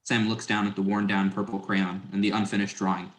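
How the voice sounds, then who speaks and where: A man's voice: lowish voice, little pitch movement, fairly quick. A man narrating stage directions in a scripted dramatic reading. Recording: roomy, echoing sound.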